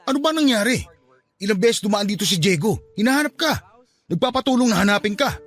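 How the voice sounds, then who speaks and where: A devoted, protective admirer in a Filipino radio drama. His raised voice swings widely in pitch, earnest and emotional, over a music bed. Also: animated, fast talker, fairly bright tone. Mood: worried. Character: devoted, protective male friend Ramon, secretly in love.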